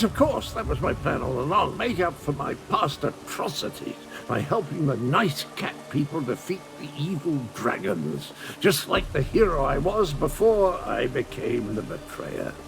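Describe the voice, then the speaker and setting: Swooping, creaky, eccentric undead gentleman. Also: on the high side, noisy background, exaggerated voice. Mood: jaunty bravado. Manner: pompous, florid, theatrical, swooping. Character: flamboyant, eccentric gaunt undead gentleman, dotty former villain. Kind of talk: theatrical video game character acting.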